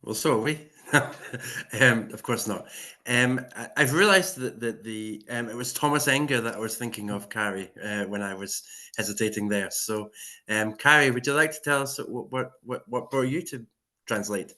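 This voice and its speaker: A man hosting a live book panel, halting and hesitant, with many pauses. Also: mid-range voice, sharp tone. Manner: halting, many fillers and restarts. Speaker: panel host.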